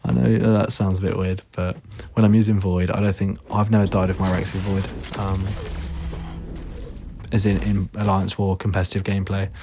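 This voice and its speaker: Guy with a hoarse, raspy low voice, sleepy and chill, lots of ums, noisy clicky audio. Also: level tone, normal speed. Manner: laid-back, rambling, frequent ums. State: hoarse, losing his voice; tired, yawning late at night. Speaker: gameplay commentator. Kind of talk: casual gameplay commentary.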